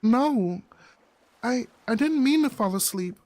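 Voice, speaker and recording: A man's voice: extremely high pitch, animated, slow, darkish tone, dry recording, voice pushed up, full character voice. A man voicing an anxious, hesitant young woman in a visual novel. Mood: uneasy, hesitant. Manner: hesitant, breaking off.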